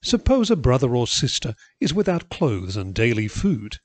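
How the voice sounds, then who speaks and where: Male scripture reader, animated intonation, dry close recording. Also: medium pitch, no echo. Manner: expressive, measured reading. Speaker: Bible reader. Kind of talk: scripture reading.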